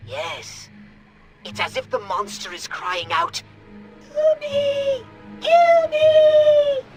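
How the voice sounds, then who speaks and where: An actor voicing an irascible, scheming alien commander in a cartoonish video game, pompous and sarcastic, with a shrill, gravelly voice. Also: male voice, big pitch swings, drawn-out words, music in the background, exaggerated voice. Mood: pompous, pondering.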